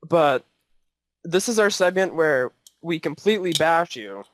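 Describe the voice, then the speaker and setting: Lively joking young man, dry close mic. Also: a little high, measured pace, no room sound. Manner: joking, stammering restarts. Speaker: podcast co-host. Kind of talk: casual chat. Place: shared room with co-hosts. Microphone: clip-on mic on shirt.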